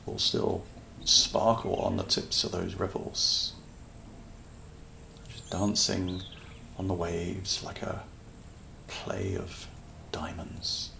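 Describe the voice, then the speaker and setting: A man leading a guided meditation in a calm, soothing manner, leaving long pauses between short phrases. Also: low voice, creaky, slight background noise. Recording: nature ambience with birdsong behind voice. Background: natural sounds, birds.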